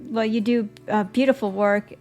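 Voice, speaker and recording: Female interviewer, medium pitch with vocal fry, conversational and appreciative, music under the voice. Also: fairly even intonation, normal speed, darkish tone. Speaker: podcast host and interviewer.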